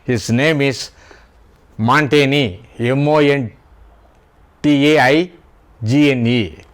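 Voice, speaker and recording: Casting: older Indian-accented man, unhurried literary talk, spells out words. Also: mid-range voice. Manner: deliberate, spells out words letter by letter. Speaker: YouTube host, writer.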